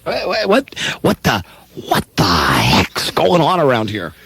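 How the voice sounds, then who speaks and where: A man playing a frantic, excitable family man in a comedic radio drama, with a stammering, exaggerated delivery. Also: medium pitch, unhurried, creaky, slightly rough, no room sound, heavily acted. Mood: bewildered, agitated. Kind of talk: comedic radio show read-through of a film script.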